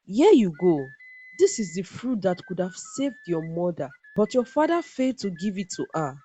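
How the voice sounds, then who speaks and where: A woman's voice: fairly low pitch, very animated, moderate pace, mellow, soft background music. A woman voicing a scheming, manipulative royal uncle in a folktale, speaking with insinuating deliberation. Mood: grave, persuasive.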